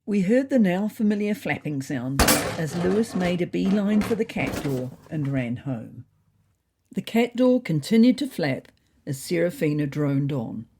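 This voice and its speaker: A woman's voice: on the low side, big pitch swings, measured pace, noisy background. A woman narrating a scripted comic diary story for a fiction podcast, with wry, dry understatement.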